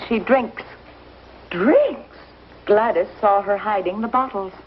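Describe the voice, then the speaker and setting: A woman's voice: mid-range voice, slow, a little hiss or hum, close to an everyday voice. An actress playing an indignant, gossipy and determined frontier mother in a 1950s television Western. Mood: scandalized, determined. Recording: dull old TV soundtrack with music.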